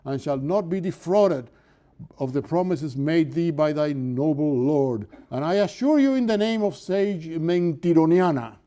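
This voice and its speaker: A man's voice: a little high, expressive, a little slow, mellow, voice pushed up. An older man with a Cuban accent reading aloud the hammy barber's fake prophecy, declaiming in archaic, affected tones. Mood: grandiose. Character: male barber faking a grand prophecy, hammy. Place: lecture hall in front of a chalkboard.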